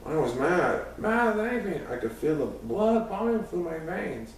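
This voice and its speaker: A man's voice: fairly high pitch, sing-song, moderate pace. A man reading a first-person youth story aloud as scripted narration, now and then stumbling over a word. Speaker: audiobook reader. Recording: very echoey room, distant mic, faint noise.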